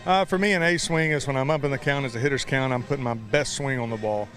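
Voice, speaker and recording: A man's voice: mid-range voice, fast, fairly bright tone, faint music behind. A middle-aged American man, a baseball coach, answering interview questions in a folksy, plain-spoken way.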